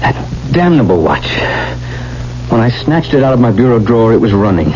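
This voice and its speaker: An actor playing an anxious young gentleman who is doomed, speaking with earnest drama in a 1970s radio play. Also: medium pitch, sing-song, brisk, close to an everyday voice. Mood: growing dread. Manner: earnest, dramatic, tense narration. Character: anxious, doomed young gentleman telling his own tale. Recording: dull vintage radio recording, music behind.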